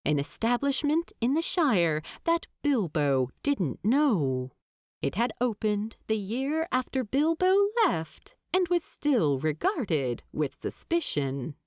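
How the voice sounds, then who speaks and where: A woman reading scripted story narration as an audiobook narrator, expressive and deliberate. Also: a little high, no echo, clean studio sound.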